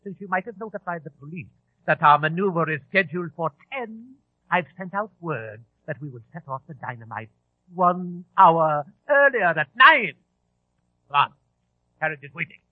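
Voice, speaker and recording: A menacing ringleader in a vintage radio drama, theatrical and taunting. The high, husky voice swings dramatically up and down, heard through a tinny old broadcast recording. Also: male voice, lively intonation, moderate pace, no echo. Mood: gloating menace. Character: menacing, volatile male leader of a bomb plot.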